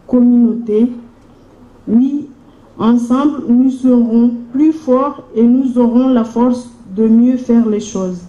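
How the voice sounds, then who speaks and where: A woman delivers a solemn, formal welcome address as a mayor. Her voice is fairly high and very soft-edged and dark, with slow, deliberate phrasing. The sound is echoey and dull. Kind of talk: formal speech at a conference. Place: large room at a public conference.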